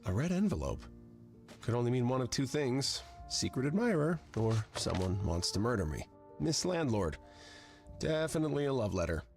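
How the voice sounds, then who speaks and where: A down-on-his-luck game hero with a mid-pitched voice. He mutters to himself with dry sarcasm, his pitch bending often, with soft music underneath. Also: sing-song, moderate pace, vocal fry. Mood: dry, resigned. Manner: dry, muttering self-talk. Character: sardonic, down-on-his-luck young everyman engineer muttering to himself.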